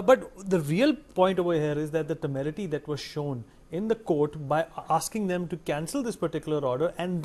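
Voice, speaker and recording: A man with an Indian accent hosting a news panel discussion, putting pointed, emphatic questions to his guests. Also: high-pitched. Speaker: news show host.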